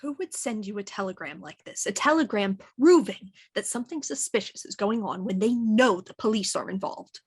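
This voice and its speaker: An actress playing an actor who voices a stern inspector, with clipped, probing questions. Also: female voice, mid-range voice, expressive, moderate pace, hardly any pauses, slightly rough. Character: young woman actor, sharp and sarcastic, voicing a stern inspector. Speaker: actor playing a police inspector in a period radio play. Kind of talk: audio drama acting.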